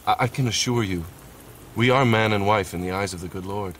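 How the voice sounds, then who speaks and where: A man's voice: on the low side, fairly quick, fairly bright tone, a little hiss or hum, natural voice. An actor playing an anxious father pleading with a border officer, hesitant, stammering and evasive, in an audio drama. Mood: nervous, evasive.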